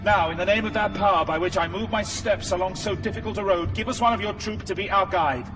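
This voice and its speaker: A stern guide through Hell, played by a man, high for a male voice and formal in delivery. He commands with authority in an audio drama, heard at some distance with music behind him. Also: fast, lightly acted. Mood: solemn command.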